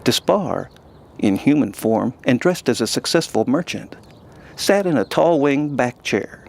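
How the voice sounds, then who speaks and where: A man's voice: mid-range voice, lively intonation, unhurried, vocal fry. A man reading scripted fantasy narration as the storyteller of an audio drama.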